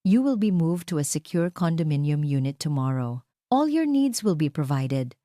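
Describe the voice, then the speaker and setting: Lady doing a icy rich ceo man, bossy and formal, low mellow voice, story drama. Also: very animated, moderate pace, no room sound, clean studio sound. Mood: cold, commanding. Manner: cold, formal, imperious. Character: cold, commanding, emotionless wealthy male CEO.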